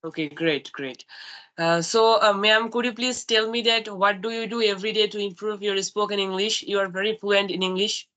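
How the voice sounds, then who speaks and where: A young woman from Bangladesh, a Bangla speaker with a Bangladeshi accent, asking questions politely with many hesitant pauses. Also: mid-range voice, fairly even intonation, sharp tone. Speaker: student interviewer.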